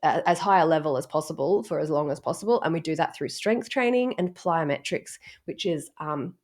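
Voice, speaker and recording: A woman coach with a slightly low voice, a little croaky from a cold. She talks casually through her explanations, step by step and loose with filler words. Easygoing instructional delivery. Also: expressive, fairly quick, darkish tone. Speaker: strength coach. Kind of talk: casual instructional talk to camera.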